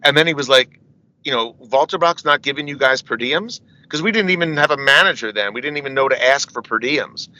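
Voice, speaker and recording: A man's voice: medium pitch, expressive, brisk, bright, forceful tone, poor audio. A middle-aged man, a metal singer, telling rambling stories as an interview guest, often asking "you know what I mean" and laughing between anecdotes. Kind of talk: casual storytelling interview.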